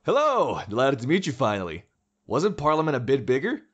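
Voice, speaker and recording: Young male tyrant president for audio drama played by a man: mid-pitched, highly animated, mocking, theatrical, gleefully threatening. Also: a little fast, breathy edge, lo-fi sound, exaggerated voice. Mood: mock delight. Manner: theatrical, mock-friendly, sarcastic.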